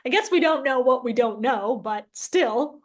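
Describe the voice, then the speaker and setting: A woman's voice: high-pitched, lively intonation, unhurried. A woman hosting an interview as a casual chat, informal and emphatic, repeating phrases for emphasis. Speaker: interview host.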